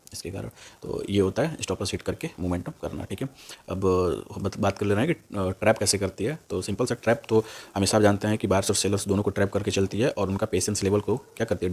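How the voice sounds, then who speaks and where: A young man giving an informal, unscripted tutorial, repeating himself and often tagging phrases with a quick check word. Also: fairly low pitch, a little mellow, slightly rough. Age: young adult. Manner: repetitive, with a frequent "okay" tag after phrases. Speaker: stock trading tutor on YouTube.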